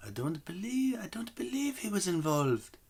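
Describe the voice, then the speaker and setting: Man doing a high-voiced, loyal storybook mother. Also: very animated, moderate pace, husky, heavily acted. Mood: troubled, disbelieving. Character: troubled mother loyally defending her relative. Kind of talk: children's storybook read aloud.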